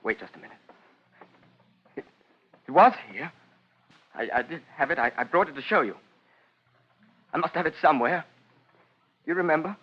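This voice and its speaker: An actor playing an anxious, distraught son who speaks to his father in halting, repeated phrases in a vintage radio drama. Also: a little high, lively intonation, slightly rough, highs cut off. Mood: nervous, fumbling. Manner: halting, repetitive, nervous stammer. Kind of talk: 1950s radio drama acting.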